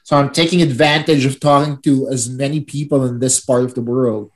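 A man's voice: medium pitch, fairly even intonation, brisk. A man from the Philippines hosting a podcast, chatty and self-mocking as he introduces his guests. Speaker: podcast host.

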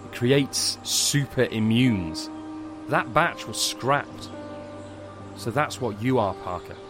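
An actor playing a guilt-ridden scientist, speaking haltingly and gravely in a science fiction audio drama. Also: male voice, medium pitch, animated. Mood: confessing. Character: guilt-ridden male scientist confessing a secret. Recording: music under the dialogue.

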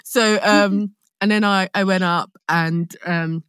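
A woman with a London accent, an actor being interviewed, telling anecdotes in casual, animated chat and acting out her own thoughts. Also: mid-range voice, slow, clean tone, no echo, studio quality. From London, England, born in Nigeria. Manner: animated storytelling with self-quoted asides.

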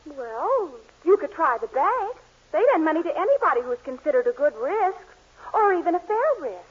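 A very high, girlish voice as an earnest young sweetheart who coaxes and pushes. The style is 1940s radio comedy on a muffled old recording. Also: big pitch swings, normal speed. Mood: eager, coaxing. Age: young adult. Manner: earnest, coaxing. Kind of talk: 1940s radio sitcom acting.

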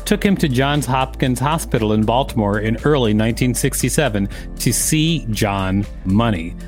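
A man podcast co-host reading an encyclopedia article aloud and adding commentary. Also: medium pitch.

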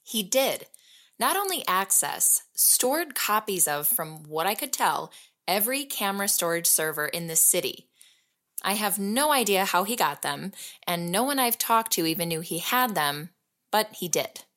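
A woman's voice: mid-range voice, sing-song, measured pace, fairly bright tone. A woman acting as an investigative podcast host, narrating a superhero audio drama in a conversational, explanatory way. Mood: revealing, measured. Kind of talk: scripted documentary-style narration.

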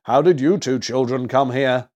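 A man voicing a merry old Santa Claus in a storybook, with a hearty, drawn-out, sing-song delivery. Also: medium pitch, a little mellow, muffled recording, close to an everyday voice. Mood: kindly, hearty. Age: older. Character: merry old Santa Claus, jolly, booming, singing as he works.

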